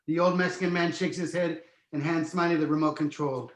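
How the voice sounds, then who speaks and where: A male narrator reads scene directions from a screenplay. His voice is fairly high, and it comes with clear room echo. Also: steady tone, brisk. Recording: roomy, echoey sound.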